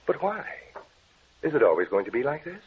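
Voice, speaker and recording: An actor playing a troubled young research scientist in a 1940s radio drama, speaking in a tense, earnest, brooding way. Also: male voice, medium pitch, sing-song, fairly quick, a little hiss or hum, natural voice. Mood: longing. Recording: tinny old radio broadcast.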